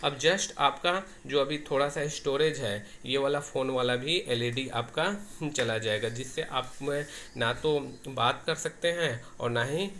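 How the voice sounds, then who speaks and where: A man's voice: medium pitch, measured pace, hardly any pauses, a bit bright. A man explains a technical setup step by step, often repeating his phrases as he demonstrates. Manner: repetitive, restarts phrases as he demonstrates. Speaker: technician giving a tutorial. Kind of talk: hands-on tech tutorial, explaining step by step. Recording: noisy amateur recording with clicks.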